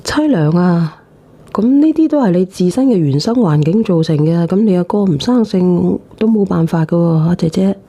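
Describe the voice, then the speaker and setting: A woman's voice: lowish voice, expressive, moderate pace, mellow. A woman with a Cantonese accent hosting a phone-in, bluntly prodding a caller with casual questions. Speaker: phone-in show host.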